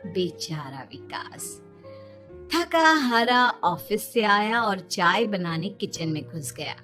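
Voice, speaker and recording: A woman's voice: medium pitch, very animated, slow, pushed and bright, soft background music. A woman narrating a scripted children's story.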